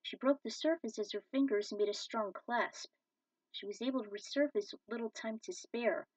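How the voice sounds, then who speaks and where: A woman's voice: a little high, normal speed, darkish tone, slightly rough, no echo. A woman narrating a scripted story as a fan fiction reader. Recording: dull, distant microphone.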